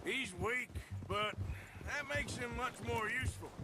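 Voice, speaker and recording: An American actor playing a rugged, wry Old West outlaw in a video game cutscene, with a folksy drawl. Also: male voice, very high voice, a little slow, over music. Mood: gruff, wry.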